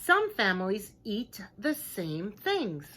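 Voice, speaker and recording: A woman reading a children's picture book aloud like a teacher, in a deliberate, expressive way, with hushed chatty asides to her listeners. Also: mid-range voice, a little hiss or hum. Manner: slow, expressive, simple deliberate phrasing.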